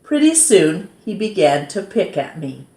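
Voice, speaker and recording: A woman's voice: on the low side, big pitch swings, measured pace, warm tone. A woman voicing a fond, folksy male horse doctor reminiscing in a storybook read-aloud. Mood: fond, proud. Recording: roomy, echoing sound.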